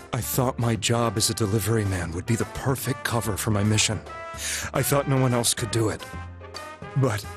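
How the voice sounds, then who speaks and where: A male actor as a troubled, earnest man confessing in a video game drama. His delivery is solemn and halting, heavy with guilt. Prominent music plays behind him. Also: mid-range voice, natural voice. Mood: remorseful.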